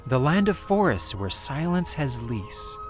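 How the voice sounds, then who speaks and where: A man's voice: medium pitch, big pitch swings, measured pace. A man playing a solemn, poetic storybook narrator, delivering scripted dramatic lines in a grandiose, theatrical way. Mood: grand, nostalgic. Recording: music clearly behind the narration.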